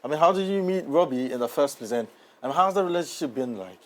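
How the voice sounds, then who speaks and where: A man's voice: on the high side, lively intonation, fast talker. A man, interviewing guests as host of a sports talk show.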